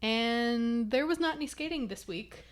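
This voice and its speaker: A woman's voice: on the high side, moderate pace. A woman co-hosting a podcast in an upbeat, conversational way, explaining how things work. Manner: upbeat, explains and reads sponsor copy. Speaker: podcast co-host. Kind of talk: casual chat.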